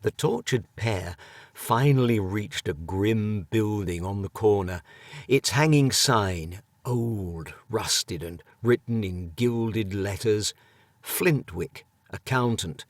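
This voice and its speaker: A man reading an audiobook in a measured way with dry humour, close on the mic. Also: fairly low pitch, no echo. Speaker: audiobook narrator. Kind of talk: scripted narration.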